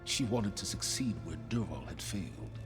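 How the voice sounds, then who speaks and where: An actor playing a cold, calculating schemer in a video game, speaking in measured, formal, condescending phrases. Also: male voice, sits low, animated. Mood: calculating, proud. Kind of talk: video game dialogue acting. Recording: music behind the voice.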